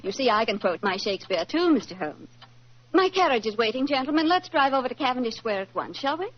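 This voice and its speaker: A haughty British lady of rank in an old radio play. Her voice is rather high and very expressive. She sounds refined, arch and mischievous through the muffled vintage recording. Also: fast talker. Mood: poised, polite. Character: haughty, mischievous aristocratic lady, sharp-tongued and playful. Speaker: actress. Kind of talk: 1940s radio drama acting.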